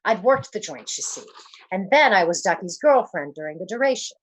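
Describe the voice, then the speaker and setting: A woman's voice: mid-range voice, big pitch swings, fairly quick, husky, low-quality recording. A woman playing a frightened young gangster's moll on the run, slangy and pleading, in an old-time radio detective play. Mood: scared, confessing.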